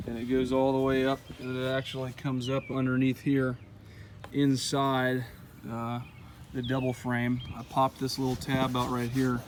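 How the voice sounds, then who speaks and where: A man's voice: medium pitch, a little hiss or hum. A man giving a casual, folksy how-to walkthrough of a truck install outdoors, with frequent "uh" fillers. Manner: relaxed, lots of "uh" fillers, folksy. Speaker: truck owner showing an install.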